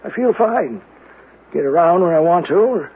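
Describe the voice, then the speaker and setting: An actor playing a stubborn, haunted old uncle, crusty, halting and dismissive yet fond, in a radio drama. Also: male voice, high voice, animated, slightly rough, heavily acted. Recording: tinny noisy old radio broadcast.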